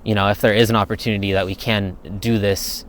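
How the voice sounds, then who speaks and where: Laid-back low-voiced young man. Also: level tone, fast talker. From United States. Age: young adult. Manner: laid-back, rambling, thinks aloud. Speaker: vlogger answering viewer questions. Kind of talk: casual Q&A chat.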